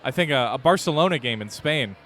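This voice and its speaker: A young man hosting a podcast, talking in a relaxed, rambling way over beers outdoors at a brewery. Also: medium pitch, fairly quick, a little hiss or hum. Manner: relaxed, rambling, with many fillers and restarts. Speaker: podcast host and editor. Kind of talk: casual chat over beers.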